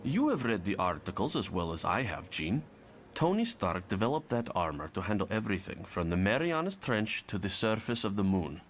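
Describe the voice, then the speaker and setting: An actor playing a bitter young Russian mutant who is fed up with heroics, defiant and argumentative, in a motion comic. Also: male voice, on the low side, sing-song, normal speed, a little hiss or hum. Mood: assured.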